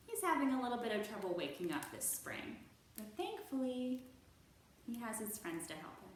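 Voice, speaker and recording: A woman reads a picture book aloud at a children's storytime and acts out the sound effects. Her mid-pitched voice swoops up and down in a lively way at an unhurried pace. The room gives it a clear echo. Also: slight background noise. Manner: expressive, animated, sound effects. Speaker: children's librarian, storytime host.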